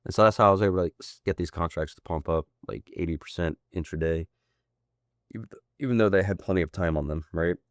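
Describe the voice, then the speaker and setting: Deep-voiced male mentor, hoarse and sick, rambling casual walkthrough, close dry sound. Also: quick pace, dry recording. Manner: loose, rambling, frequent tag questions. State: hoarse, sick and losing his voice. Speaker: trading mentor.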